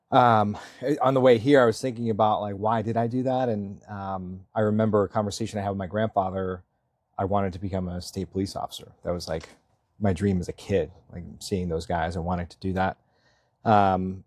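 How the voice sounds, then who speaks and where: A man's voice: sits low, darkish tone, dry recording, clean studio sound. A man in his thirties from Massachusetts, talking casually as an interview guest, rambling with fillers and frequent chuckles. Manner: rambling, self-deprecating, laughs often, many fillers. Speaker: selectboard candidate, guest.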